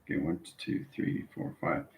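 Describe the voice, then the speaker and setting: A man's voice: normal speed, slight background noise. A man giving an improvised esoteric lecture to viewers, instructing repetitively and often checking in with short tags like okay and right. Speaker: spiritual channel host giving instruction. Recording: roomy, echoing sound.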